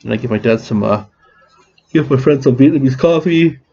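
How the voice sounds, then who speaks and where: A man's voice: mid-range voice, brisk, mellow, breathy edge, highs cut off. A man with a scratchy voice, rambling through a casual vlog with many restarts and fillers. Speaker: vlogger.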